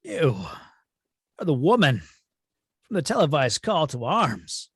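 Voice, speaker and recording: A man playing an imperious, cold alien supervillain in a script read, husky and pompous, with drawn-out, menacing delivery. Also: on the high side, very animated, fairly bright tone, no room sound, clean studio sound, exaggerated voice. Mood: gloating menace. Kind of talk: amateur table read of a film script.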